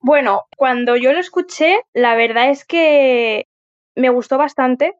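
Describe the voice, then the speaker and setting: A woman's voice: sits high, takes it slowly, muffled recording. A woman with a Spain accent chatting casually as a podcast guest, telling her experience in an informal, rambling way. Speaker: podcast guest and event helper. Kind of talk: casual chat.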